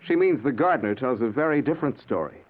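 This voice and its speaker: An actor playing a suspicious, defiant college-age son in a 1940s radio drama, accusing and direct. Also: male voice, medium pitch, animated, fast, close to an everyday voice. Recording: tinny old radio recording.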